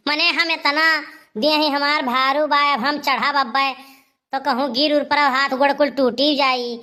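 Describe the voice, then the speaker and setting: A woman's voice: sits high, sharp tone. A woman playing a heavyset, crafty village elder sister in a comedy cartoon, chatty and coaxing, with an Awadhi accent.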